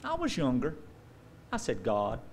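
Animated male pastor preaching at a lectern. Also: mid-range voice, unhurried, slight background noise. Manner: animated storytelling, acts out quoted voices. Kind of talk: sermon. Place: church stage with dark curtain. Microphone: stand mic at lectern.

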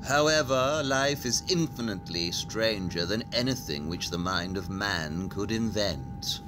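An actor playing a brilliant, sceptical detective in an audio play, speaking precisely and formally. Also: male voice, lowish voice, animated, background noise. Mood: incisive, sceptical. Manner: precise, formal, theatrical, measured deduction.

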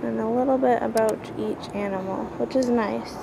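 Gravelly-voiced woman on a walking tour. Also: a little high, moderate pace. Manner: relaxed, pointing things out as she walks. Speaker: video tour host. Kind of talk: casual walkthrough narration. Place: indoor museum hall. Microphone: handheld camera mic. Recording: noisy handheld camera audio.

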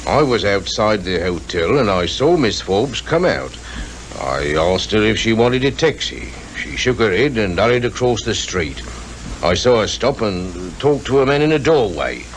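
An actor playing an obliging, plain-spoken hotel porter with a British accent, in a 1950s radio drama. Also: male voice, fairly low pitch, big pitch swings, moderate pace, rough recording. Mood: earnest, helpful. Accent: British English. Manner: plain-spoken, deferential, step-by-step telling. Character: observant, obliging male hotel porter giving his account. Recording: 1950s radio recording with faint noise.

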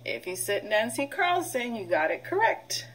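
A middle-aged woman, a preschool teacher, leading a read-aloud vocabulary lesson in an instructional way, pausing for children to repeat. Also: fairly high pitch, sing-song, fairly bright tone, slightly rough. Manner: instructional, prompting with pauses for repetition.

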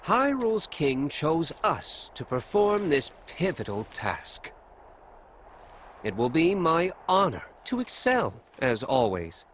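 A man's voice: a little high, wildly swooping pitch, takes it slowly, a bit bright, slight background noise, exaggerated voice. A man voicing a vain, haughty bird warrior with a pompous, sneering drawl, in a dramatic video game style. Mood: proud.

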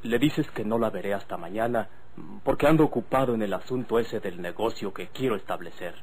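An actor playing a secretive, headstrong young gentleman with a Mexican accent, in a noisy old radio drama. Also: fairly low pitch, fairly quick, natural voice. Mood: tense, secretive. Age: young adult. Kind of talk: golden-age radio drama acting.

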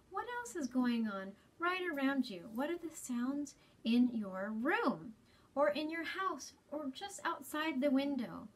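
A woman in her thirties hosting a children's storytime, explaining gently and deliberately in a slightly breathy voice. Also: on the high side. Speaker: children's storytime host at a library. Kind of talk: children's storytime reading and talk. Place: small library space, indoors.

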